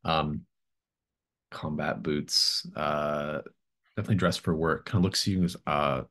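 Very deep-voiced man narrating a game. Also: fairly even intonation, a bit bright. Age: middle-aged. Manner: halting, thinking aloud. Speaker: game master. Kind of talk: narrating a tabletop game, improvised. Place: home room with bookshelves, video call.

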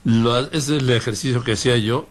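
A male radio host, talking in a measured way and listing dates and past events. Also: mid-range voice. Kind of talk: radio interview conversation.

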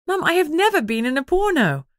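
A woman's voice: high-pitched, big pitch swings, brisk, no room sound, studio quality, pitched above the performer's own voice. A woman voicing a frank young heroine in an audiobook, earnest and wry. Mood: embarrassed, insistent.

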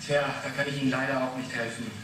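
A man's voice: mid-range voice, steady tone, fast talker, fairly bright tone, natural voice. An actor in a 1980s radio play playing a defensive, irritable man under questioning, evasive and explanatory. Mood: defensive, earnest. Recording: very echoey, noisy, distant radio drama.

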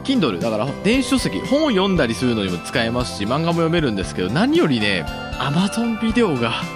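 A man's voice: on the high side, wildly swooping pitch, fast talker. A man of about thirty chatting casually and with animation as a podcast co-host giving reviews. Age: around 30. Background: music playing behind the talk.

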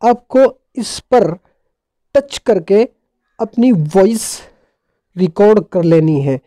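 A man's voice: high-pitched, expressive, takes it slowly, warm tone, no room sound, studio quality. A man narrating a casual how-to tech tutorial in a playful, jokey, colloquial way.

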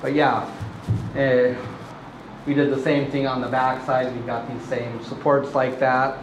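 Casual man in his thirties touring a boat refit, unhurried and plain, in a boomy hull with faint noise. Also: roomy sound. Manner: relaxed, plainspoken, lots of ums and uhs. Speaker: boat owner showing a refit. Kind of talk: casual walkthrough video. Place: inside a fiberglass boat hold and on deck.

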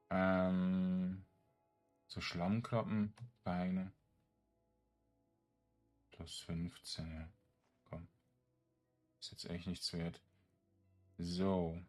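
A man giving casual game commentary, thinking aloud in a halting mutter as he plays. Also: low voice, a little mellow. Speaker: gaming streamer.

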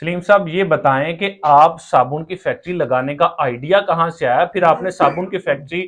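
A man's voice: a little high, normal speed, fairly bright tone, lo-fi sound. A man in his thirties with a Pakistani accent, asking questions as the host of an on-location interview. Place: small brick-walled workshop room indoors. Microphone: lapel.

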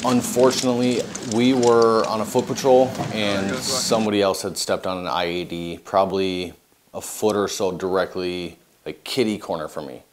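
Young veteran guy, low voice, sober and matter-of-fact, noisy background. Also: fairly even intonation, normal speed. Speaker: military veteran. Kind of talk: interview testimony. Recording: noticeable background noise. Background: noise.

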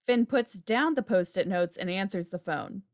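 A woman's voice: medium pitch, lively intonation, normal speed, dry recording, clean studio sound. A woman reading out stage directions as scripted narration at a table read. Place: indoor room, on a video call. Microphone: video call microphone.

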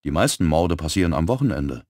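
A man voicing a measured, dry male police detective. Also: low voice, big pitch swings, a little mellow, no room sound. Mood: calm.

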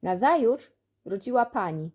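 A woman narrating a children's audiobook expressively, telling the story in an animated way. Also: fairly high pitch, unhurried, slightly rough. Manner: animated storytelling, acts out dialogue. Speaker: audiobook reader.

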